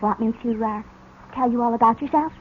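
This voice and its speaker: A woman's voice: a little high, fairly quick, close to an everyday voice. An actress playing a brazen, coy sixteen-year-old girl who mocks and teases, in an old-time radio drama. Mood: smug, taunting. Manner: coy, mocking, giggly. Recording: muffled, noisy old radio recording.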